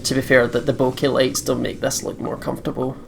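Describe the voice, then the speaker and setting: A man with a medium-pitched voice hosts a video game playthrough. He moves between casual chat and reading story narration aloud. The recording has a little background noise. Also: flat delivery, fairly quick, creaky. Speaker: let's play host. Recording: slightly noisy home recording.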